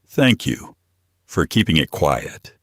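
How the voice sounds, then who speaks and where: A man's voice: a bass voice, big pitch swings, takes it slowly, dry recording, clean studio sound, full character voice. A man voicing a guarded, independent shop woman in a romance audiobook, wary and plain-spoken. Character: guarded, independent woman running a dry cleaner. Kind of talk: romance audiobook character dialogue.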